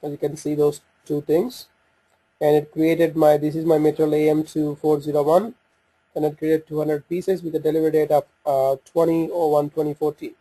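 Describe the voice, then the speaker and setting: Husky, higher-pitched man demonstrating software. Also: flat delivery, normal speed, poor audio. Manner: hesitant, thinking aloud. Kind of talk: unscripted screen-share software walkthrough. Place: voice-over on a screen recording.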